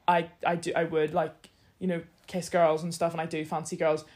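A young woman chats casually as a co-host. Her voice sits slightly deep, and she talks hesitantly, circling and restarting as she works out what she means. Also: little pitch movement, normal speed, a little mellow. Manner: hesitant, restarts often, thinking aloud. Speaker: podcast co-host. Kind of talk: casual chat.

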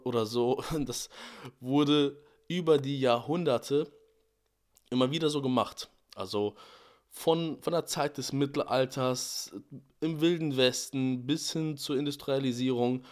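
A young man with a slightly hoarse voice from a cold, hosting a devotional podcast and explaining a Bible verse in a relaxed, searching way. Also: medium pitch. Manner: relaxed, explanatory, with fillers and restarts. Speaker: podcast host.

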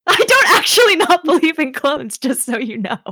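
A woman's voice: high voice, sing-song, moderate pace, pushed and bright, no echo, clean studio sound. A woman player teasing her friends and giggling through a casual game chat.

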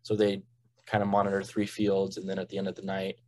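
A man's voice: sits low, completely flat delivery, quick pace, darkish tone. A young man, a college freshman and referee, answering interview questions in an easygoing way. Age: young adult. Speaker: referee guest.

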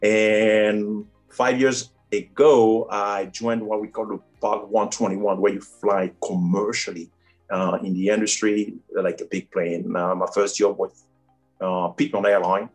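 A man's voice: lowish voice, level tone, measured pace, faint music behind. A man in his forties from Cameroon with a Cameroonian French accent, answering interview questions earnestly with frequent fillers. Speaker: airline first officer, guest.

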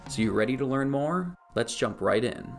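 Male podcast host, mid-pitched, music bed underneath, stumbles and restarts questions. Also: animated, moderate pace. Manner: restarts and repeats words in questions. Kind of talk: scripted intro then casual interview.

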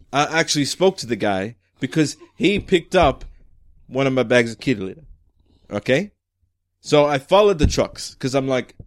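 A man's voice: fairly high pitch, natural voice. A man playing a rambling shifty street informant. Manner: rambling, wheedling street talk. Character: shifty male street informant who hoards cats. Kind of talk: improvised comedy scene.

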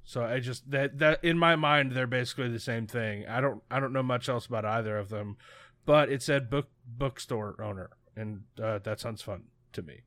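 A man's voice: medium pitch, normal speed, a bit bright, dry recording. A man co-hosting a podcast, chatting casually in a rambling, hesitant way, often poking fun at himself. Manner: rambling, hesitant, self-deprecating. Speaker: podcast co-host. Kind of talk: casual chat.

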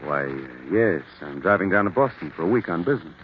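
A man's voice: low voice, animated, fast talker, a little hiss or hum. A man playing an indignant, evasive lover cornered by a rival in an old-time radio thriller, full of defensive bluster. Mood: defensive annoyance. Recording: muffled vintage radio recording.

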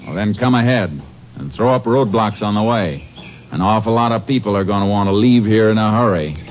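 Male actor as steady Ranger boss, low voice, terse orders, vintage radio drama, muffled with music. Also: fairly quick, lightly acted. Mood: brisk, businesslike. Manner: terse, authoritative. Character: steady, commanding Texas Ranger lawman in charge. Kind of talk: 1950s radio crime drama acting.

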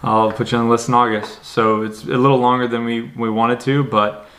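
A young man joins his partner's vlog, chatting in a relaxed, joking way. He adds quick asides and throws in playful comparisons. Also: medium pitch. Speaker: vlog guest, partner of host. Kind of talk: casual couple vlog chat.

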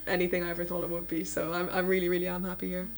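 Young woman, student host, low-ish pitch, very level intonation, casual hedging talk. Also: monotone, rapid-fire, a little hiss or hum. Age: young adult. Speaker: student host asking questions. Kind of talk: casual chat.

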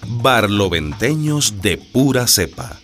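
Radio serial narrator, man, low voice with animated intonation over music. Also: slow. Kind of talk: scripted radio drama narration.

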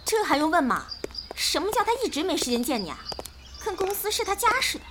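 A woman playing a sharp-tongued, possessive young actress in an audio drama, pert and outspoken, quick to complain. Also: very high voice, very animated, background noise. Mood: indignant. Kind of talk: modern radio drama acting.

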